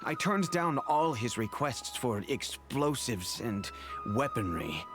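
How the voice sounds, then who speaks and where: An actor playing an earnest, remorseful, wealthy middle-aged father in a video game, speaking in a measured, formal way. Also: mid-range voice, sing-song, over music. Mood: regretful. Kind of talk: video game character acting.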